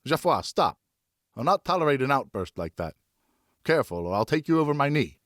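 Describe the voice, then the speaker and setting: A man playing a firm but loving father who speaks in a stern, measured way in an audiobook. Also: medium pitch, sing-song, a bit bright, no room sound, clean studio sound, pitched above the performer's own voice.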